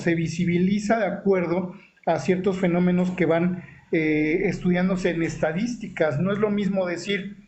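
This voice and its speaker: A man moderates an online panel in a careful, formal tone, pausing on fillers and restarting phrases. His voice sits high for a man. The stream audio is dull and lo-fi. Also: darkish tone. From Mexico.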